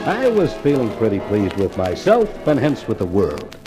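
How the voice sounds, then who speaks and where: An actor playing a smug, wisecracking newspaper columnist in a 1950s hardboiled radio mystery, glib and breezy. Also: male voice, lowish voice, wildly swooping pitch, moderate pace, music in the background, poor audio. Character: cocky, wisecracking American male newspaper columnist. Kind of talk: 1950s hardboiled radio drama narration and dialogue.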